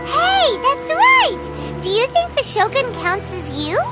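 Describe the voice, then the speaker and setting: A little cheerful fairy sidekick for a game, super squeaky n animated, loud music behind. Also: female voice, slow pace, heavily acted. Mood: curious. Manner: chirpy, talks of herself in third person. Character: tiny cheerful childlike female fairy companion. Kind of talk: animated video game dialogue.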